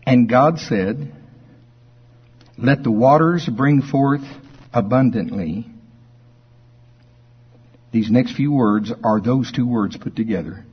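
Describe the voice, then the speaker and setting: A man preaching in an informal Bible study, conversational and folksy, slowing with deliberate emphasis as scripture is read aloud. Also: medium pitch, breathy edge, highs cut off. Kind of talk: informal Bible teaching to a congregation, reading verses aloud. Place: speaking live before a congregation.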